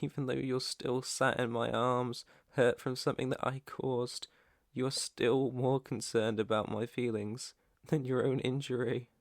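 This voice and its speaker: A British man playing a protective, gentle, clueless alpha werewolf in an intimate ASMR roleplay, speaking softly and reassuringly close to the mic. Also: medium pitch, flat delivery, dry recording, lightly acted. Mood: tender, reassuring. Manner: soft, tender, unhurried, reassuring. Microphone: close microphone, dry.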